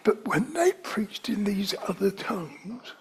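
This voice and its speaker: A man's voice: high-pitched, very animated, a little slow. An older man with a London accent, preaching a sermon in a conversational, digressive way that rises to emphatic stresses. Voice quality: very breathy, often whispery.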